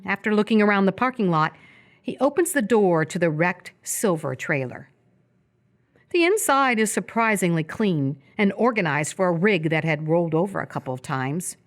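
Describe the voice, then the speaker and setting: A woman's voice: on the low side, sing-song, normal speed. A woman narrating scripted stage action for a live radio theatre piece.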